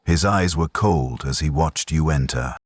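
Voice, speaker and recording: Male narrator for grave second-person fiction. Low, clean voice, close dry sound. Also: measured pace, dry recording. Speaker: story narrator.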